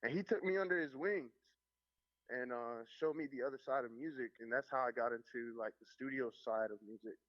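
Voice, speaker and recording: Man, young adult, from the Caribbean, casual interview talk, relaxed, many fillers, dull muffled sound. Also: mid-range voice, fairly quick. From Caribbean, Jamaican and Haitian. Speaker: music producer, interview guest. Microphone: remote line, could not hear host. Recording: muffled lo-fi line.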